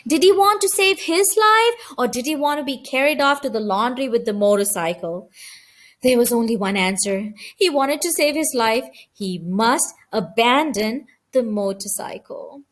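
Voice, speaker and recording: High, animated woman reading a story. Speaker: audiobook reader. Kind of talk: expressive children's story narration.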